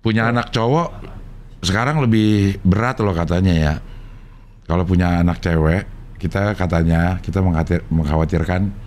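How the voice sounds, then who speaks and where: A man's voice: deep voice, moderate pace, a little mellow. A man in his fifties with a Jakarta accent, hosting a casual interview in a joking, teasing way. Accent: Jakarta Indonesian. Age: 50s. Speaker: interview host. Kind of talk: casual chat. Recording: clear background noise.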